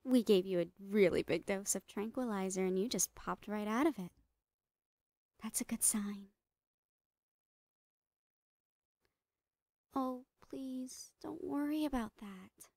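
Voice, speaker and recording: A woman playing a smiling psychotic doctor in a horror ASMR roleplay, breathy and often whispering, soothing and falsely reassuring with drawn-out words. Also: mid-range voice, dark tone, no echo. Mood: falsely sweet. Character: smiling psychotic woman doctor, sweetly menacing mad scientist. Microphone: close microphone, dry.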